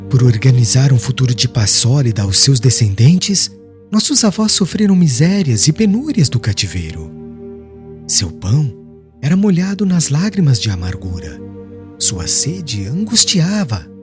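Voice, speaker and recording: A man's voice: mid-range voice, very animated, a little slow, over music. A man voicing a serene, devout young preacher in an audiobook, eloquent and formal, declaiming with solemn firmness. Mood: solemn exhortation.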